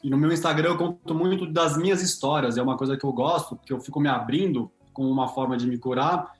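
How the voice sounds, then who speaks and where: A man's voice: fairly high pitch, quick pace, echoey room, soft background music. A man with a Brazilian Portuguese accent, a podcast guest speaking openly and a little self-deprecatingly about his work. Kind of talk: casual podcast chat.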